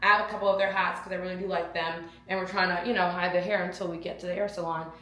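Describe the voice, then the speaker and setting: A woman's voice: lowish voice, steady tone, rapid-fire, a bit bright, reverberant. A young woman chatting casually to camera in a lifestyle vlog, talking to her viewers and to herself and repeating words for emphasis. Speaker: lifestyle vlogger.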